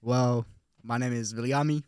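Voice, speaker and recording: A man's voice: medium pitch, steady tone, normal speed, darkish tone, smooth, no echo, studio quality. A young man from Finland talking as a podcast guest in a laid-back, casual way, often repeating words as he goes. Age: young adult. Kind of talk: casual conversation.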